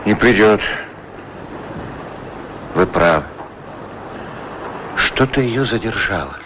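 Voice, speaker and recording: An actor playing a patient, lovelorn shoe shiner in a 1960s radio play, plain-spoken and resigned. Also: male voice, fairly low pitch, lively intonation, slow, fairly bright tone, slight background noise, poor audio. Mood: wistful.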